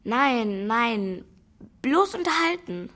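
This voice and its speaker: Slow, unsure young girl. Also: fairly high pitch, very animated, fairly bright tone, exaggerated voice. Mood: sheepish, subdued. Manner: hesitant, subdued. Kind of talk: children's radio play dialogue.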